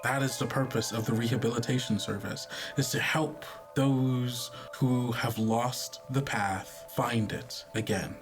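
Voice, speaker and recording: A man playing a polite, condescending overseer of a sinister program in an actual-play game, speaking formally and smoothly. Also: medium pitch, fairly even intonation, a little slow, few pauses, music in the background, close to an everyday voice. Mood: smooth, knowing. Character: polite, condescending head of a sinister rehabilitation service.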